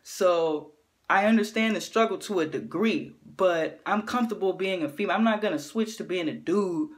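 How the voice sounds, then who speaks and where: A woman with a deep voice gives an animated opinion rant to camera, often checking in with her listener. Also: moderate pace. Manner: animated, keeps asking "you know what I'm saying". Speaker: vlogger.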